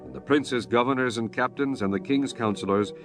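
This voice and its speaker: A man narrating scripture from a script in a measured, solemn, formal manner. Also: medium pitch. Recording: music bed behind the voice.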